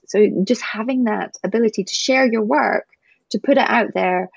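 Gravelly Scottish woman, lo-fi sound. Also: fairly high pitch, normal speed, no echo. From Scotland. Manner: chatty and fluent, small self-corrections. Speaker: guest, writing group member. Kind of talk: casual interview chat.

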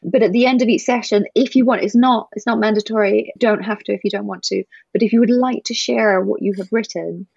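Gravelly Scottish woman, lo-fi sound. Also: medium pitch, fairly even intonation, fairly quick, few pauses, a little mellow, no echo. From Scotland. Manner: chatty and fluent, small self-corrections. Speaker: guest, writing group member. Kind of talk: casual interview chat.

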